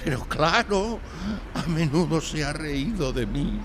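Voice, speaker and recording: A man's voice: a little high, lively intonation, unhurried, background noise. An actor playing a timid, anguished young man in a live audio drama, speaking with hesitant, sighing delivery. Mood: nervous, hesitant. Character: timid, anguished young male client telling his misfortune.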